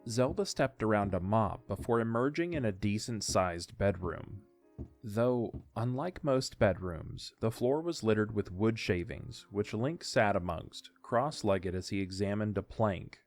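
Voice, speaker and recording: Male narrator for fantasy audiodrama, lower register, reading over a music bed. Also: lively intonation, measured pace, darkish tone. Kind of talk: scripted story narration.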